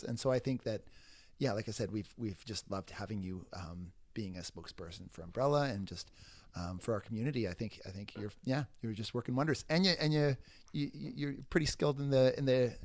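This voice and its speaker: A man hosting an interview, speaking haltingly with many repeated words and restarts. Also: medium pitch, dry recording. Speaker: interview host. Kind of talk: casual conversational interview.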